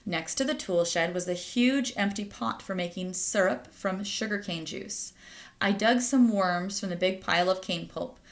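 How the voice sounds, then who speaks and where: A woman reading a children's picture book aloud as a storyteller, addressing young listeners directly. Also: medium pitch. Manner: pauses to ask listeners questions.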